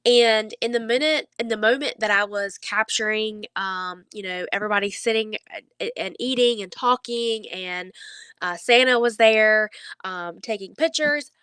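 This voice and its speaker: A woman with a stuffy nose giving a heartfelt, reassuring faith talk to camera in an earnest, conversational way with halting phrasing. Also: medium pitch, fairly bright tone, smooth, dry recording. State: stuffy nose, under the weather. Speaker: faith vlogger.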